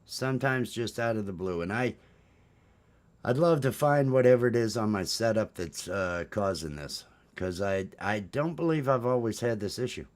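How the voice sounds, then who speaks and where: Rambling baritone man hosting a chat. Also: expressive, moderate pace. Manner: rambling, with many restarts and hesitant fillers. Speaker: host. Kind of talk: casual morning livestream chat.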